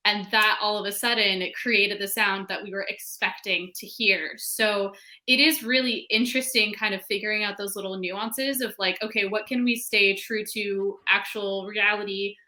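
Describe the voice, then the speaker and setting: A woman's voice: medium pitch, fairly even intonation, normal speed, sharp tone. A woman panelist explaining things casually and thoughtfully, stressing key words as she goes. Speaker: panelist, fiction podcast creator.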